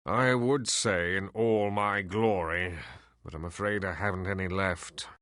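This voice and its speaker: An actor playing an ancient, reclusive elf sage in a video game, sardonic and weary, with theatrical, deliberate delivery. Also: male voice, low voice, steady tone, a bit bright, smooth, no room sound, clean studio sound. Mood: regretful. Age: older.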